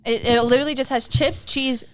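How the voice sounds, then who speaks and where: A woman co-hosting a podcast in casual chat, playful and quick to laugh, teasing her co-host. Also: high voice, steady tone, background noise.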